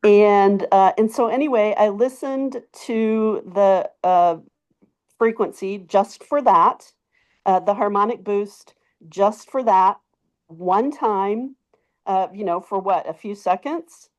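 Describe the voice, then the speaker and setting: A woman's voice: mid-range voice, takes it slowly, darkish tone. A woman giving a casual testimonial, telling her story conversationally with emphatic repetition and asides. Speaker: webinar participant giving a testimonial.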